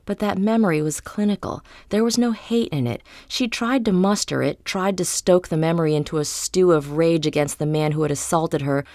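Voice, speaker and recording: Even-pitched woman narrating an audiobook. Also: moderate pace, hardly any pauses, no echo, studio quality. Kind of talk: scripted literary fiction narration.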